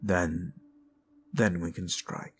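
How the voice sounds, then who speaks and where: Man acting a slow, solemn fantasy war commander. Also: deep voice, fairly even intonation, a little hiss or hum. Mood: grave, resolute. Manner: measured, solemn, pausing. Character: grave, deliberate fantasy war commanders at the frontline. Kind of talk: fantasy roleplay audio drama.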